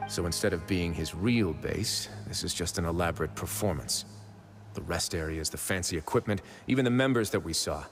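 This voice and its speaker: A low male voice over clear game music. He is a stern prison overseer from a video game, measured and formal, who gives orders with calm authority and weighs every word. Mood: grave, measured.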